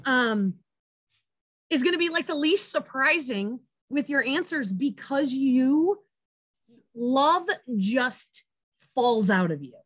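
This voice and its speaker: A woman hosts an interview series over a video call, chatty and playful, gushing over her guest. Her voice sits a little high and stays bright and conversational. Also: expressive, slow. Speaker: interview host. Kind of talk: casual interview chat.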